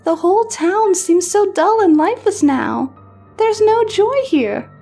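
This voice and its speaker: Forlorn little pony baker acted by a woman, very high girlish pitch, subdued and pliant, horror audio story with faint music. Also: lively intonation, a little slow, warm tone, full character voice. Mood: morose. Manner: morose, subdued, eager to please. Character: sad young female pony baker, lost her cheer. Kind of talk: horror fan fiction reading.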